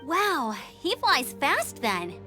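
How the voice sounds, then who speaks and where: A woman's voice: high-pitched, huge pitch swings, takes it slowly, pushed and bright, full character voice. A woman voicing a spirited, cheerful girl who works as a gliding instructor in a video game. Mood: surprised, curious. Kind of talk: English video game dialogue. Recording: game music behind the voice. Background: game music.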